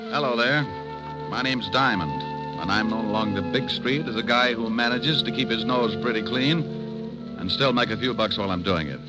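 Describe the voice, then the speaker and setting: An actor playing a wisecracking, easygoing hard-boiled private detective in a 1950s radio drama, wry and slangy. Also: male voice, mid-range voice, normal speed, loud music behind the voice, lightly acted, rough recording.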